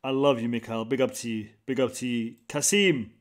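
A man's voice: medium pitch, steady tone, fairly quick. A man, hosting a casual live stream from a small home room into a studio mic, upbeat and greeting viewers by name over and over. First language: Arabic. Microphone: large studio mic on a boom, headphones.

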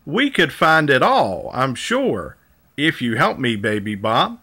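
A man's voice: medium pitch, very animated, takes it slowly, bright, forceful tone, husky, exaggerated voice. A man reading the part of a cheerful, helpful big dinosaur in a children's storybook, encouraging and sing-song.

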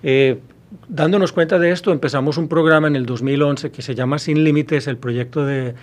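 A man in his fifties speaks with a Colombian Spanish accent as a professor being interviewed. He pauses often and restarts his sentences as he thinks. The sound is close and clean from a studio microphone. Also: fairly high pitch, fairly even intonation. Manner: thoughtful, many hesitations and restarts. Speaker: university professor guest. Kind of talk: interview answers. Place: small studio with blue backdrop. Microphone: studio mic on boom arm.

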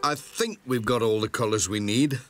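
A man's voice: medium pitch, moderate pace, loud music behind the voice, close to an everyday voice. A man with a British accent playing a friendly, helpful middle-aged village postman in a children's cartoon, cheery and a little muddled.

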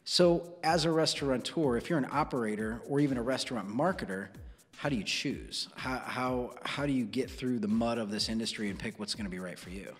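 A man's voice: medium pitch, a little fast, long reverb tail, soft background music. An American man in his thirties presenting and discussing as a podcast host in a conversational way. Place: small dark indoor studio. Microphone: close broadcast studio microphone on boom arm.

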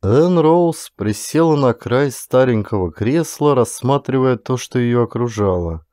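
A man's voice: medium pitch, animated, measured pace, warm tone, no room sound, clean studio sound. A man reading an audiobook as dramatic scripted narration.

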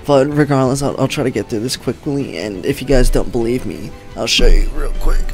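A man's voice: medium pitch, a little fast, faint music behind. A young man in his twenties talking casually to camera from a home streaming setup, his voice a little worn and his talk loose and rambling with frequent swearing. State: voice weakened from treatment. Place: home streaming room, indoors.

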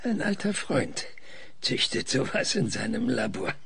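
A man's voice: medium pitch, sing-song, unhurried, creaky, a bit bright, full character voice. An actor playing a stubborn, weary male scientist in an audio drama, hesitant, thoughtful and dryly ironic. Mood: reflective. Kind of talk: German audio drama acting.

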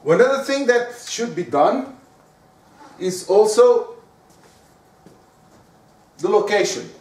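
High-voiced Portuguese man lecturing in an echoey room. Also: slow. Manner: lively, talks to the audience with frequent rhetorical questions. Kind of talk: lecture on giving presentations. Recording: echoey room, speaker far from the mic.

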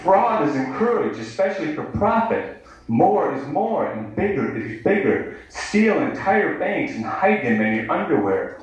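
A man's voice: fairly high pitch, very animated, a little slow, a little hiss or hum. An American man in his thirties reads poetry live in a sardonic, declamatory manner. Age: 30s. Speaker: poet. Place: indoor bar venue, live reading. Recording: echoey live bar recording, distant mic.

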